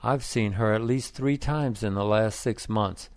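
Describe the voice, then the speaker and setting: A man's voice: lowish voice, a little slow, a little mellow, clean tone, no echo. Man doing a wry gossipy guy in a read-aloud story, dry sound.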